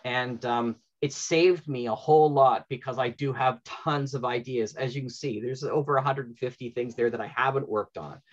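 A man's voice: medium pitch, steady tone, normal speed, few pauses. A middle-aged man hosting an informal panel, thinking aloud in a conversational way over a lo-fi video call. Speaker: panel host and audio drama writer. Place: indoor room at home, on a video call. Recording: dull lo-fi video call audio.

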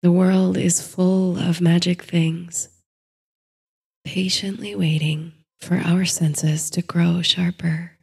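A woman narrating a bedtime sleep story and guided meditation in a soothing, gentle, hushed and measured way. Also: fairly low pitch, flat delivery, creaky, slightly rough.

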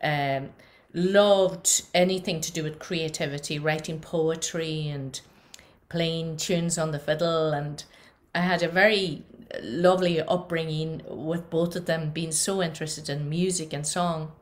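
A woman's voice: low voice, fairly even intonation, measured pace. A middle-aged woman from northwest Donegal, a native Irish speaker with a Donegal accent, chatting as a guest singer on a video call from home. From northwest Donegal, Ireland. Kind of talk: casual introduction on a video call. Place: home room with a harp behind. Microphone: headphones on a video call.

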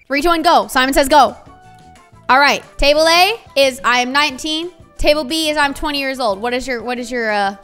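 A woman's voice: high voice, brisk, a bit bright, soft background music. A young woman, hosting a multiplayer game in a bossy, playful way and repeating herself. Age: young adult. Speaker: game host.

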